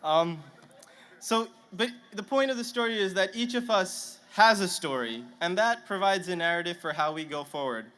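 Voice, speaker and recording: A young man, a native Punjabi speaker, addresses a stadium crowd from a podium microphone. His voice is bright and sits a little high, and he talks with nervous, self-deprecating humor. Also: normal speed. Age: young adult. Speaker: student commencement speaker. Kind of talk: commencement speech. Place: outdoor stadium ceremony.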